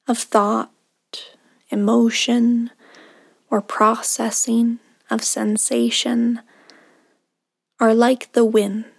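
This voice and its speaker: A woman guiding a meditation in a soothing, unhurried manner, leaving long pauses between short phrases, with soft, breathy endings. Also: a little high, no room sound, clean studio sound. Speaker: meditation guide. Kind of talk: guided meditation narration.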